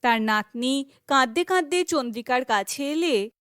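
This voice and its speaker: A woman's voice: sits high, animated, normal speed, a bit bright, no echo, clean studio sound. A woman reading scripted fairy tale narration for children, as a storyteller.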